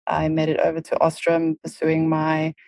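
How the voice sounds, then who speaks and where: A woman's voice: sits low, monotone, normal speed, darkish tone, no echo. A woman in her thirties with a South African accent, a podcast guest who explains things at length with lots of "um" fillers. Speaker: podcast guest, marketing lead. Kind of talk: casual interview talk.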